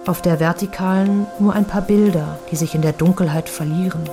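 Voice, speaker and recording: Female narrator for literary radio drama: lower register, measured matter-of-fact delivery, prominent music bed. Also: fairly even intonation. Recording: studio voice over prominent music.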